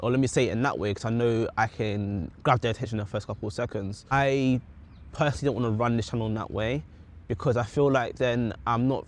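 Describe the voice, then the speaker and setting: A young man with a British accent reflecting on his goals in a casual, rambling vlog, often correcting himself mid-sentence. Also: fairly low pitch. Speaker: vlogger. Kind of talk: casual talking-head vlog.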